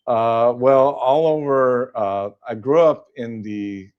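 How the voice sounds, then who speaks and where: A man's voice: mid-range voice, slow pace, clean tone, highs cut off. An older man from Southern California, a guest in a casual interview, talking with many fillers and restarts.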